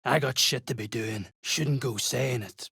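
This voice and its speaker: Fantasy game duergar, gruff mercenary type: husky, creaky, threatening, coarse. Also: male voice, mid-range voice, unhurried, pushed and bright, no echo, clean studio sound, heavily acted. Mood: gruff, surly. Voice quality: gravelly, husky. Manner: coarse, blunt, barking orders. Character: gruff male duergar mercenary clan fighter, surly and threatening. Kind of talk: fantasy game character acting.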